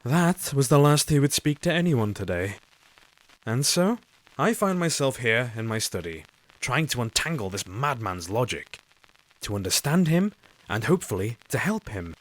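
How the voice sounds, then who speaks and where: An actor playing a lovelorn young doctor who narrates his diary and questions a patient, earnest, formal and measured. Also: mid-range voice, sing-song, natural voice, rough recording. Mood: brooding. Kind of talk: audio drama narration.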